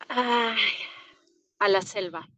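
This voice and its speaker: This is a young woman from Peru, a plant-medicine practitioner, giving relaxed interview answers. She talks slowly and reflectively, laughing softly now and then. Her voice is smooth and clear, recorded close and dry. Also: mid-range voice, dry recording, dull audio. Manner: unhurried, thoughtful, soft laughs between answers. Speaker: interview guest, herbalist.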